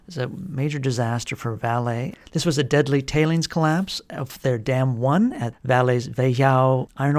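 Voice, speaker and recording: Mid-pitched male podcast host, measured delivery. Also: a little mellow. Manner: measured, informative, with some fillers. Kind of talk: news podcast monologue.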